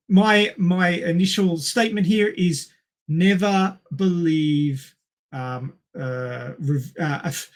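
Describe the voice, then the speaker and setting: Man, slightly high voice, podcast co-host, casual talk, halting, emphatic points. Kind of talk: casual film discussion.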